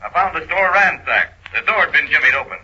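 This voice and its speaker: Indignant caller in old radio drama, fast, highish, agitated, tinny telephone sound. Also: male voice, expressive, pushed and bright, husky, exaggerated voice. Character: agitated, indignant male caller reporting trouble. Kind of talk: vintage radio crime drama acting. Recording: muffled, tinny old-time radio recording.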